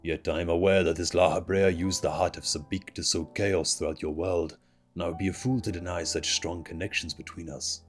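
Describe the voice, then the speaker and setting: Man reading as a solemn male game scholar, low-pitched, formal, measured, grave, music behind. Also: fairly even intonation, lightly acted. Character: solemn, learned male scholar of a fantasy world. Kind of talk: reading fantasy game dialogue aloud.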